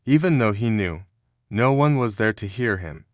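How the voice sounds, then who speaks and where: A man's voice: on the low side, expressive, normal speed, no room sound, studio quality. A man reading scripted read-along narration as the narrator of a children's storybook.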